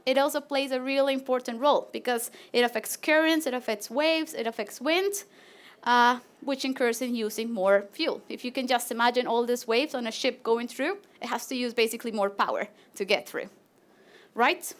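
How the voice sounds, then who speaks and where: Woman, 30s, Latin American Spanish accent, high voice, friendly animated presenter. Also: normal speed. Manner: friendly and animated, with small fillers and restarts. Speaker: PhD researcher presenting. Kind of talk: live PechaKucha talk to an audience.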